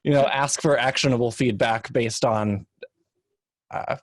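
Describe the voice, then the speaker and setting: A man giving casual advice as a panelist, informal and explanatory, often halting to rephrase himself. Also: mid-range voice, fairly even intonation, no room sound, clean studio sound. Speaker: expert panelist. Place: online video call.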